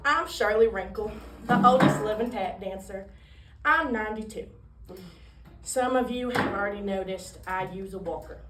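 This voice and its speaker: A woman voicing a cheeky, romantic ninety-two-year-old tap dancer in a comic stage monologue, telling her story with theatrical, drawn-out punchlines. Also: medium pitch, close to an everyday voice. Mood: cheeky, boastful. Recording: roomy, distant microphone, faint noise.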